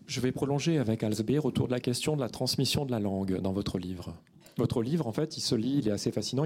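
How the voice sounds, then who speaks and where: A man moderating a literary panel, working his way through long questions with plenty of hesitation. His voice sits at an ordinary pitch and his delivery stays measured. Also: a little mellow. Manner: measured, hesitant with many fillers. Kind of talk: panel discussion.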